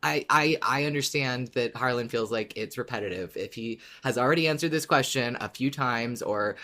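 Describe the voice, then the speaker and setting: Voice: medium pitch, moderate pace, a bit bright. A podcast co-host chatting casually with wry, agreeable banter. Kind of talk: casual chat.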